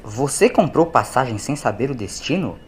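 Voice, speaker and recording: A man's voice: mid-range voice, big pitch swings, normal speed, lightly acted. Man voicing an incredulous male passenger, noisy background. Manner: incredulous, plain-spoken. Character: incredulous ordinary father, male spaceship passenger.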